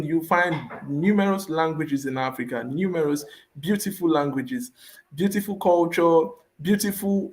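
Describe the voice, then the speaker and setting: Earnest man speaking on an online panel. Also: a little high. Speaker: panel guest, youth leader.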